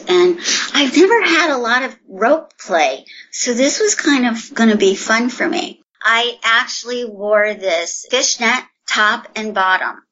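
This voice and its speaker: A woman's voice: mid-range voice, pushed and bright, lo-fi sound, a little hiss or hum. A woman telling a story in a chatty, enthusiastic way in a casual podcast conversation.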